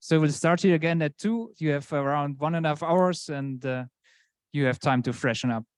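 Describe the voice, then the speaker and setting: A man's voice: fairly high pitch, moderate pace, smooth, no echo, clean studio sound. A man moderating a conference session, brief and informal with some uhs. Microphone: video call.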